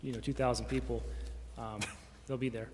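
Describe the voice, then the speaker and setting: A man explaining things informally as a staff member, halting and often correcting himself. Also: mid-range voice, level tone, a little mellow. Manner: halting, self-correcting, casual. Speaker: city staff member answering council questions. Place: large indoor council chamber. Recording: reverberant council chamber, room noise.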